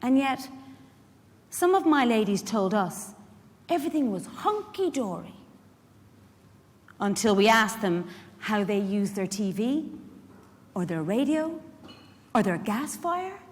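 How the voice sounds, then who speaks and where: A woman's voice: on the high side, lively intonation, slow pace, pauses often. A woman with an Irish accent performing a live dramatic monologue as a feisty, protective care worker, in a conversational, wry manner. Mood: indignant, pleading. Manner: conversational, wry, rhetorical questions to the audience. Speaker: actor in a dramatic reading. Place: large indoor event hall. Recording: echoey hall, live stage reading.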